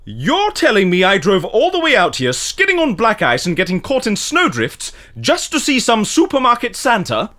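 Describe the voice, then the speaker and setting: An actor playing an eager, polite and naively festive interviewer in an audio drama, chatty and theatrical. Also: male voice, high voice, wildly swooping pitch, normal speed, hardly any pauses, a bit bright, full character voice. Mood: baffled. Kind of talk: scripted audio drama acting.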